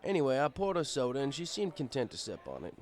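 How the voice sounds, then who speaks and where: A man's voice: mid-range voice, sing-song, fast, darkish tone, lightly acted. A man playing an easygoing, fatherly pony bartender who tells his story in a wry, conversational way. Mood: reflective. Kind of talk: first-person audiobook narration in character.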